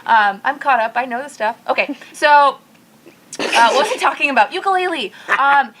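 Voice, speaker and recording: A woman's voice: sits high, lively intonation, normal speed, background noise. A woman speaking casually as a guest on a podcast panel. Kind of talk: casual chat.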